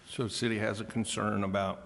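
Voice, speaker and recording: With some reverb around him, a man with a fairly deep voice speaks at a public meeting. He is a council member, feeling his way tentatively through his questions. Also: level tone, creaky, a bit bright. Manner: halting, tentative phrasing of concerns. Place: indoor council chamber.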